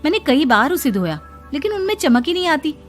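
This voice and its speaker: A woman's voice: sits high, very animated, brisk, soft background music, voice pushed up. A woman voicing a dutiful, polite young daughter-in-law in a family story, earnest and respectful.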